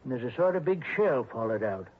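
A man's voice: medium pitch, sing-song, fairly quick, background noise, close to an everyday voice. An actor playing a scared, shifty old country man in a radio drama, with folksy, ungrammatical rural talk. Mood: uneasy, confiding. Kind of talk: 1960s radio drama acting. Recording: dull old radio recording.

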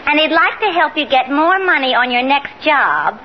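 High-pitched sarcastic boss's daughter, vintage radio. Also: female voice, animated, normal speed. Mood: brisk, gleeful. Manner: wisecracking, sarcastic. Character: boss's brassy daughter, a young woman, dry and teasing. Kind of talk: 1950s radio comedy acting. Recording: noisy, hissy, muffled old radio recording.

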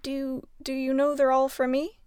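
A woman's voice: high voice, completely flat delivery, normal speed, a little mellow, no room sound, clean studio sound, lightly acted. Woman playing a stammering young switchboard operator. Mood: apologetic. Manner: stammering, apologetic and anxious. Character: young woman telephone operator, timid and nervous.

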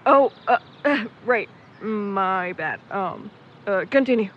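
A woman's voice: medium pitch, animated, slow, lo-fi sound, a little hiss or hum. An actor, a woman, playing an easygoing, cheeky young road-trip companion with offhand, casual delivery in a naturalistic audio drama. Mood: sheepish, cheeky.

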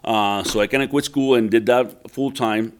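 A middle-aged man from Puerto Rico, a chef and entrepreneur, telling his story in a relaxed, rambling way full of "uh" and "you know". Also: mid-range voice, moderate pace. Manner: rambling, many "uh" and "you know" fillers and repeated words.

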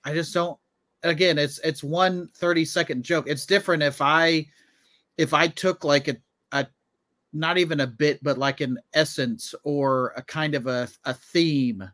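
Male co-host, slightly high voice, casual and halting delivery, very dry recording. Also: steady tone, fairly bright tone, no echo. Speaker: podcast co-host. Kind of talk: casual chat.

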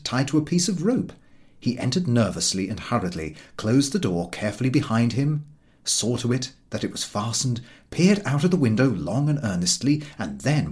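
A man narrates a comic classic with theatrical flair. His medium-pitched voice swings very widely up and down, giving the scripted prose an animated storytelling feel. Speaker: audiobook reader.

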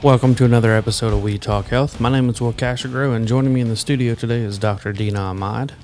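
A man's voice: mid-range voice, steady tone, fast talker, a little mellow, faint music behind. A man hosting a studio health podcast, asking a guest friendly, upbeat questions. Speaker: podcast host. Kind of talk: studio interview.

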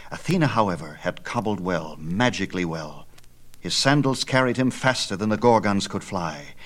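Male narrator, lowish register, dramatic and declamatory, reading myth in measured phrases. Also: fairly bright tone. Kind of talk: dramatic scripted storytelling of myth.

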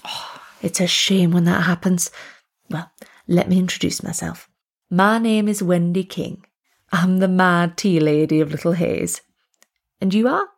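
A woman puts on an eccentric village tea lady for a storybook. She is chirpy, motherly and a little secretive, gently asking questions. The voice is husky with plenty of creak. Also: fairly low pitch, steady tone, unhurried. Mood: chatty, curious. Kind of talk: acted storybook dialogue.

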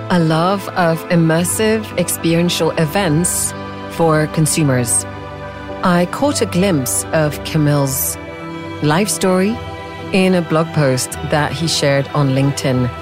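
A woman hosting a podcast, reading a scripted intro and then chatting with a guest in a curious, chatty way, laughing at herself now and then. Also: low-pitched. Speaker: podcast host. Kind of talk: scripted intro then casual interview chat.

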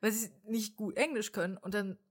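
A woman's voice: mid-range voice, fairly even intonation, normal speed. A young woman hosting a casual interview, talking animatedly and mixing English words and the odd swear into her speech. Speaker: host. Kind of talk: casual chat.

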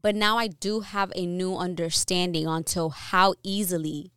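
A woman's voice: lowish voice, steady tone, normal speed, fairly bright tone, clean tone, no echo, clean studio sound. A young adult woman from New York, co-hosting a podcast and talking through her opinions in an earnest, casual way, with many fillers and restarts. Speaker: podcast co-host.

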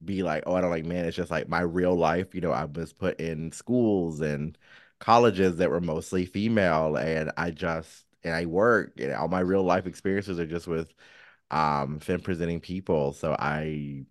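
A man with a very deep voice talks casually as a podcast co-host. He is frank and sassy, speaking openly about personal matters. The sound is dry, with hardly any room around it. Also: steady tone, normal speed, no echo. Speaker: counselor. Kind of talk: casual chat, candid and personal.

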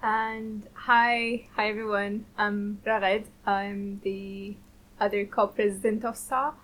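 A young woman from the Middle East, a second-year student, speaking earnestly with halting restarts. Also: mid-range voice, flat delivery, fairly bright tone, poor audio. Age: young adult. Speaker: student guest. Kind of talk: casual interview answers. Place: radio studio.

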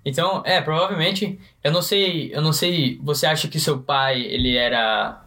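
A man's voice: fairly high pitch, normal speed, bright, forceful tone. Playful man co-hosting a chat. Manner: playful, joking asides. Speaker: co-host. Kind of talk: casual interview chat.

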